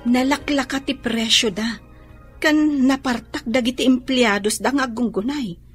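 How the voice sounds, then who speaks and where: A woman's voice: on the high side, normal speed, breathy edge, faint music behind. A woman playing a feisty, scheming young shop employee with an Ilocano Filipino accent in a radio drama, assertive and complaining. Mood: scheming, bold.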